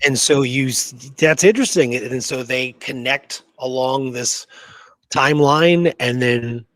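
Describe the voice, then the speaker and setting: A man co-hosting in a casual chat, informal and halting as he thinks out loud. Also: mid-range voice, dry recording. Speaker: co-host. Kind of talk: casual chat, reacting and questioning.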